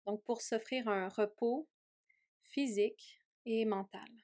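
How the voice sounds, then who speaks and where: A woman yoga teacher leading a guided meditation in a gentle, soothing way with long pauses. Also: a little high, steady tone. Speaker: yoga teacher guiding a relaxation.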